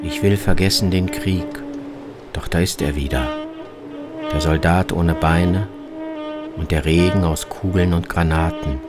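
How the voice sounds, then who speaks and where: A man's voice: low-pitched, steady tone, unhurried, pauses often, loud music behind the voice. A man narrating a scripted, literary travel piece for radio as its reporter.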